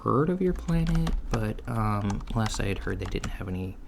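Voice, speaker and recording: Low, creaky, easygoing alien interviewer acted by a man. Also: big pitch swings. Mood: friendly, matter-of-fact. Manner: halting, mumbly, casual, trailing off. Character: easygoing, reassuring alien job interviewer, male. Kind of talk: soft-spoken ASMR roleplay. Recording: lo-fi with clear background noise. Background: computer keyboard typing.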